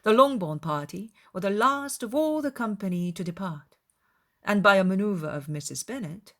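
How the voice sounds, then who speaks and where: A woman reading a classic novel as scripted audiobook narration, in a formal, measured storytelling manner. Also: on the low side. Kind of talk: scripted literary narration, dramatized.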